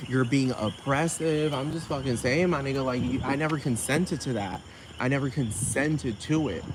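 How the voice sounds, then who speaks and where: Male vlogger, mid-pitched, ranting loosely with lots of swearing; noisy take with crickets behind. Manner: repetitive, keeps asking "you know what I'm talking about". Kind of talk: rambling profane rant.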